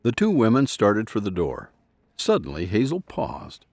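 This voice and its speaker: A man's voice: lowish voice, huge pitch swings, a little slow, a little mellow, no room sound. A man narrating an audio drama in a scripted storytelling style.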